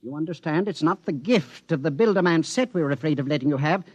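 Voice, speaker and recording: An actor playing a fussy, officious census official from the future, precise and pedantic, in 1950s radio drama style. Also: male voice, fairly high pitch, brisk, no echo, lo-fi sound, close to an everyday voice. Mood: coolly apologetic.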